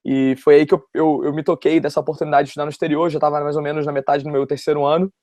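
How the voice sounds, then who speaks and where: A man's voice: on the high side, level tone, rapid-fire, a little mellow, no room sound, clean studio sound. A man in his early twenties with a Rio de Janeiro accent, telling his story casually and in a rambling, informal way. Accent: Rio de Janeiro Brazilian Portuguese. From West Zone of Rio de Janeiro, Brazil. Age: early 20s. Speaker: interview guest, university student. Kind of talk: casual personal storytelling in an interview.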